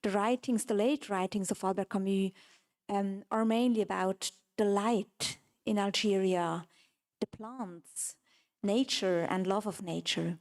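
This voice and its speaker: A woman moderating a public panel, a non-native English speaker, friendly and filling her pauses with "um". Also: medium pitch, fairly even intonation, a little mellow. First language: not English.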